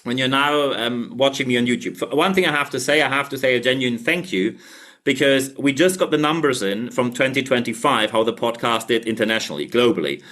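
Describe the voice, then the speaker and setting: A man's voice: medium pitch, fairly quick, fairly bright tone. A man hosting a solo leadership podcast, not a native English speaker, talking emphatically and repeating his thanks and calls to action. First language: German. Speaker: podcast host.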